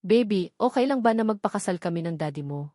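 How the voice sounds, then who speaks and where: A woman's voice: mid-range voice, animated, quick pace, dark tone, no room sound, clean studio sound, lightly acted. A woman voicing an anxious, hesitant young mother and reluctant bride in a romance audio novel, questioning and unsure. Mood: gentle.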